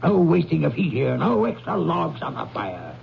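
An actor playing a bitter, miserly old businessman in a radio drama, gravelly, curt and contemptuous. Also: a little high, very animated, normal speed, a little hiss or hum, exaggerated voice. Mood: irritable, curt. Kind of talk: 1970s radio drama acting. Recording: dull lo-fi 1970s radio recording.

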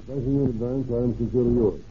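A man's voice: mid-range voice, fairly even intonation, a little hiss or hum, lightly acted. Man acting a methodical radio sleuth, tinny old recording. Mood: businesslike. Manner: deliberate, musing. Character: methodical, confident male private sleuth dictating to his secretary.

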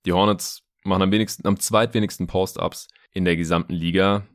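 A low-pitched man delivers conversational basketball analysis as a podcast host. He explains things informally, with casual asides, and the recording is very dry. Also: steady tone, brisk, no echo. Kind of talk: conversational sports analysis monologue.

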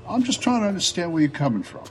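A man playing a curious, cautious, polite agent in a science fiction audio drama, measured and skeptical. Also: high-pitched, sing-song, a little hiss or hum.

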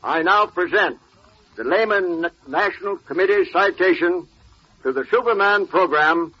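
A man's voice: high voice, moderate pace, breathy edge. An older man giving a formal, stiffly read speech as a guest on an old radio broadcast. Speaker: guest speaker, retired naval officer. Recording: dull 1940s radio recording.

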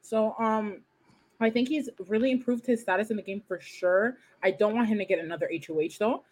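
A woman whose first language is French, co-hosting a casual podcast chat in a playful, animated way, acting out quotes. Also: medium pitch, a little fast, slight background noise. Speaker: podcast co-host.

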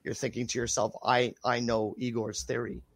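A man speaking as a researcher guest in a casual interview, his voice raspy from being sick, hesitant with many fillers. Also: mid-range voice, little pitch movement, moderate pace, fairly bright tone, no echo.

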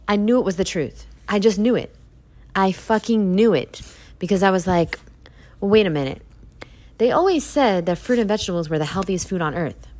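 A woman in her thirties hosting a podcast in a casual monologue, blunt and profane, preaching at her listeners and jumping into high emphatic peaks. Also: a little mellow. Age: 30s. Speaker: podcast host.

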